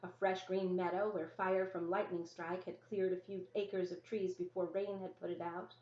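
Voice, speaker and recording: A woman's voice: on the low side, flat delivery, normal speed. Woman reading a children's novel, echoey room. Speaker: story reader. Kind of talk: scripted first-person narration, children's novel read-aloud. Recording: roomy, echoey, dull lo-fi sound.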